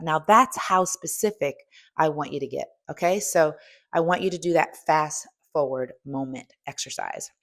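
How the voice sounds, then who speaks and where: A woman in her fifties giving a motivational coaching talk, emphatic and asking the listener direct questions. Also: on the low side. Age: 50s. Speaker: strength coach and host.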